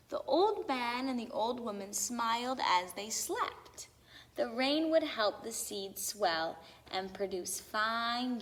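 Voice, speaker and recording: A woman reading a children's story aloud with expressive, theatrical emphasis on lists and sound words. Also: a little high. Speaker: storybook reader. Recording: long hall-like reverb.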